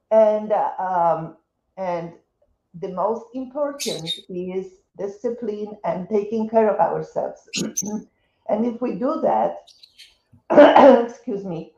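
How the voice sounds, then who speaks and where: Woman, 70s, Polish accent, slow, hesitant, dull roomy audio. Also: mid-range voice, warm tone. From Poland. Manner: hesitant, many restarts and fillers. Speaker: interview guest, wellness coach. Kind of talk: casual interview conversation.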